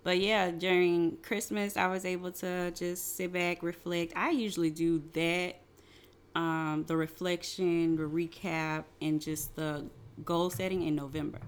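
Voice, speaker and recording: A woman co-hosting a podcast, speaking reflectively and haltingly with many fillers. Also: fairly low pitch, fairly even intonation, clean tone. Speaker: podcast co-host. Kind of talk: casual chat.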